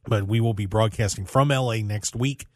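A man's voice: lowish voice, normal speed, no echo, studio quality. An American man hosting a casual sports talk radio show in a jokey, rambling way. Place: dry radio studio.

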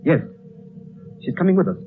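A man's voice: moderate pace, lightly acted. An actor playing an impatient, headstrong young man in a 1930s radio drama, speaking with urgent, clipped defiance. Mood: defiant, agitated. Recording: tinny, noisy 1930s radio recording.

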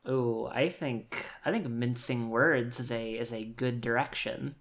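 A woman's voice: rumbling low, unhurried. A woman improvising narration for a tabletop roleplaying game, thinking aloud and often repeating her phrases as she finds the words. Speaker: player in an actual play tabletop game.

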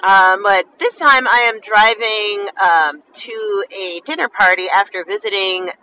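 Bright-voiced woman with a slight lisp. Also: mid-range voice, unhurried. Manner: slightly slurred, lisping enunciation from a numb mouth. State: half the mouth numb after dental work. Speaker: podcast host. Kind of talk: casual personal monologue for a podcast. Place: inside a car while driving. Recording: distant mic, faint vehicle noise. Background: faint car driving noise.

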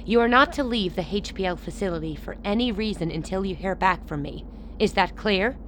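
A woman playing a hard-nosed female leader in a radio play. She is blunt, commanding and drily sardonic, at a middle pitch. A light layer of noise sits behind her. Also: animated, brisk, lightly acted. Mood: stern. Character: hard, pragmatic chairwoman ruling an enclave, sardonic and commanding. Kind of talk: radio drama acting.

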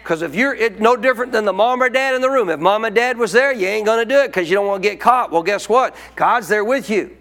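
High-voiced preaching man. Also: fast, hardly any pauses, fairly bright tone. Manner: call-and-response preaching, colloquial. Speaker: pastor. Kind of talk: sermon teaching.

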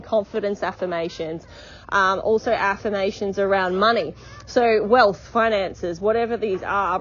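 A woman's voice: medium pitch, breathy edge, muffled recording. A woman life coach giving an encouraging, repetitive motivational podcast talk, often checking in with "okay?".

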